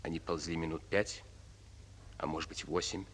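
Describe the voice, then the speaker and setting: Male narrator, deep voice, intense edge, solemn, deliberate, radio war drama, long reverb. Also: steady tone, a bit bright, husky, full character voice. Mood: grave. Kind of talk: scripted narration.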